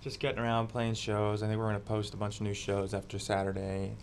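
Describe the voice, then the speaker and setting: Laid-back low-voiced male musician. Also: fast, slight background noise. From Massachusetts, United States. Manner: relaxed, laid-back, offhand. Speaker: musician guest. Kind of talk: casual interview chat.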